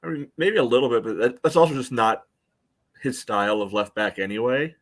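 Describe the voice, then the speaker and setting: An American man co-hosting a sports podcast, giving casual game analysis in a measured, conversational way. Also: medium pitch. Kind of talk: casual soccer match analysis.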